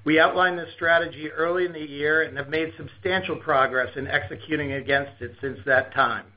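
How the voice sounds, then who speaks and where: A man's voice: a little high, fairly even intonation, brisk. A man giving a formal scripted business presentation as a company executive on an earnings call. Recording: dull, lo-fi conference call audio.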